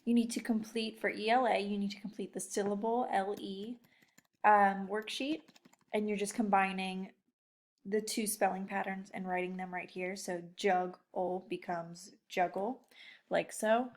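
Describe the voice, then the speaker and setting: A woman's voice: mid-range voice, level tone, unhurried. A woman teacher giving a casual lesson to young children, encouraging them and addressing them directly with questions. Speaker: elementary school teacher. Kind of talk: casual read-aloud lesson talk to young pupils.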